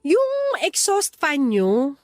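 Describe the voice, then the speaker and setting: A woman's voice: sits high, huge pitch swings, extremely slow, no room sound, clean studio sound, full character voice. A young woman with a Filipino accent playing a considerate but insistent neighbour in a radio drama, with music underneath. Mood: hesitant, explaining.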